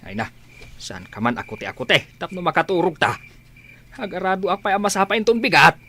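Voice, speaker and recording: A man's voice: high-pitched, expressive, brisk, sharp tone, exaggerated voice. A man playing a sleepy, teasing household companion in a radio comedy, with an Ilocano accent. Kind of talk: radio comedy drama acting.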